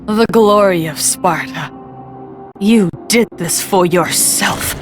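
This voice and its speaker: A woman's voice: medium pitch, music in the background, lightly acted. An actress playing a brave, reproachful Spartan wife in a video game, confronting her husband in an accusing manner. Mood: accusing, weary. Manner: slow, accusing. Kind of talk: dramatic video game acting. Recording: game score behind voice.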